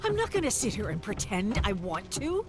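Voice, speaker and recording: A woman's voice: medium pitch, wildly swooping pitch, normal speed, voice pushed up. A woman voicing an anxious male TV executive who pleads and persuades, in a dramatic game story reading. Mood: pleading. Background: music.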